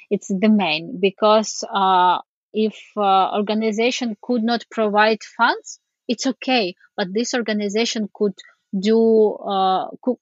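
A woman with a Ukrainian accent answers interview questions slowly and earnestly, searching for words. The recording is dry and a little dull. Her pitch sits in a middle range. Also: no room sound. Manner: earnest, halting, many fillers. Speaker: foundation CEO, guest.

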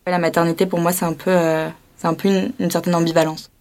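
A woman in her twenties giving a measured, hesitant personal testimony as an interviewee. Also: on the low side, little pitch movement, a little mellow. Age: 20s.

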